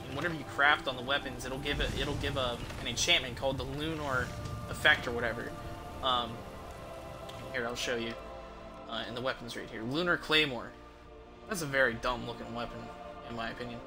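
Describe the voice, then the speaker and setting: A man giving casual, rambling video game commentary, explaining things as he plays and swearing offhandedly. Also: medium pitch. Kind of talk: casual gameplay commentary with tips.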